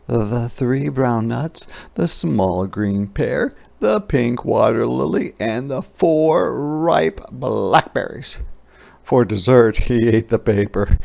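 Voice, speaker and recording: A man narrating a children's picture book aloud in a deliberate, expressive storytelling style. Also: mid-range voice, creaky. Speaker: story reader.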